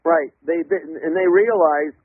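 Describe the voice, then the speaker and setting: A man calling in as a radio guest, lecturing emphatically in a loud voice and spelling out words letter by letter. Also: on the high side, normal speed. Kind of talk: radio call-in interview. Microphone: telephone. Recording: tinny phone line.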